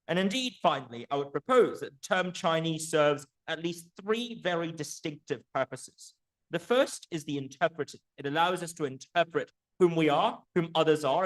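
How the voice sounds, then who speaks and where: A man's voice: fairly high pitch, fairly bright tone, rough recording. A man presenting an academic book talk in an articulate, erudite manner, posing rhetorical questions and often checking in with the listeners.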